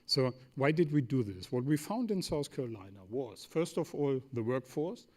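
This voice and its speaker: A German man, a native German speaker, talks as an executive on a forum panel in a German accent. His voice sits at a medium pitch. He is emphatic, building points from short, repeated phrases and rhetorical questions. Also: very animated, normal speed, warm tone. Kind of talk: semi-formal panel talk.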